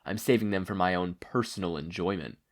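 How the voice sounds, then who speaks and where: A reader voicing a scheming older male villain mentor, measured and patronising, in a story. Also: low-pitched, natural voice. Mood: coaxing, smug.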